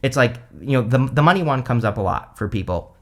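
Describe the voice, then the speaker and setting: A man's voice: medium pitch, expressive, quick pace, a bit bright. Rambling, chatty man reading tarot. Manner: chatty and rambling, full of "like" and "you know", with light laughs. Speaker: tarot reader, channel host. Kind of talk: casual tarot reading to camera.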